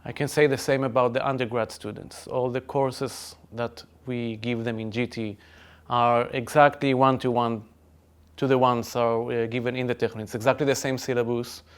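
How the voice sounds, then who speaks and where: A man's voice: medium pitch, flat delivery, moderate pace, clear, resonant tone. A man with an Israeli accent speaking as a professor in a podcast conversation, making his points emphatically with repetition. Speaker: chemistry professor.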